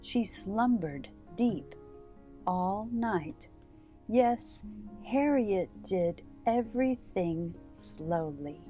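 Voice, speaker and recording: A woman narrates a children's storybook at an unhurried, slow pace. Her medium-pitched voice phrases things gently and deliberately for young listeners, with faint music behind her. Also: animated. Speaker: children's story time host. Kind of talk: read-aloud storybook narration.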